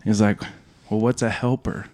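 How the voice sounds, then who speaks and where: A man's voice: fairly low pitch, steady tone, moderate pace, darkish tone, dry recording. A young man telling rambling stories in casual chat, laughing and swearing, tired from staying up all night.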